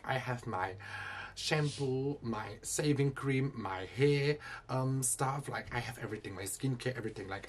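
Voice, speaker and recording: A man vlogging casually, chatting directly to his viewers. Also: medium pitch. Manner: chatty, addresses viewers as guys. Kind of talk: casual vlog chat to viewers. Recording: noisy vlog audio with background ambience.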